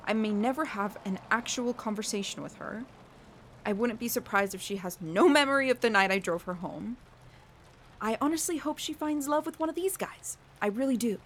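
A woman's voice: fairly high pitch, normal speed, a little hiss or hum, natural voice. An actress playing an earnest, lonely, self-deprecating young woman in an audio drama. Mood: wistful. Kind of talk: audio drama acting, confessional monologue and dialogue.

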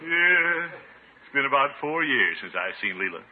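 A tinny, noisy vintage radio recording of a man playing a pompous middle-aged American bachelor. His lowish voice swoops up and down as he blusters, a sentimental soul easily flustered in a fifties comedy. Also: sing-song, moderate pace, natural voice. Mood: fond, nostalgic. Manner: blustering, chuckling, swooping exclamations. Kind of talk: 1950s radio comedy acting.

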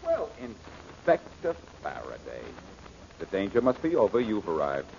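An actor playing a cocky, unflappable, wisecracking detective in an old-time radio drama, with a glib, teasing manner. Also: male voice, fairly low pitch, huge pitch swings, measured pace, creaky, a little mellow, heavily acted. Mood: breezy, teasing. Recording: muffled, noisy old radio broadcast recording.

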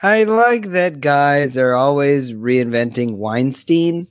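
Mid-pitched wisecracking man, dry close sound. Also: animated, slow, clear, resonant tone, no echo. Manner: wisecracking, crude. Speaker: podcast co-host. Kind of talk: casual comedy banter.

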